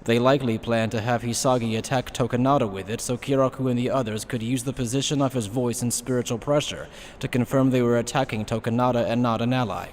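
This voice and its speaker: A man's voice: medium pitch, fairly even intonation, fast talker, darkish tone, natural voice. A man narrating a scripted anime audio story as a sober storyteller. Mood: solemn. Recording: faint background noise.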